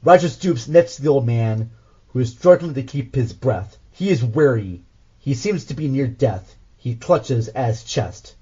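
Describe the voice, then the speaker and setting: A man's voice: mid-range voice, expressive, measured pace, mellow, breathy edge, dull audio. A man reading scripted audio drama narration as the host.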